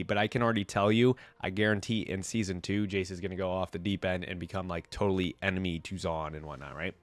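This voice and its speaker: A man with a fairly low voice talks through his nose, stuffed up with a cold. He rambles casually like a fan hosting a reaction video, hedging as he goes, in a dry recording with little room sound. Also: clean tone, no echo. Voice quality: nasal. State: congested with a cold.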